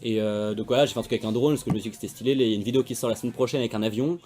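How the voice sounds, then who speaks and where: Young French magician type, talks fast and loose, casual slang, mid voice. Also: male voice, medium pitch, steady tone, darkish tone, faint music behind. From France. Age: young adult. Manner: fast slangy rambling talk. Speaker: magician guest. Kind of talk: casual interview chat.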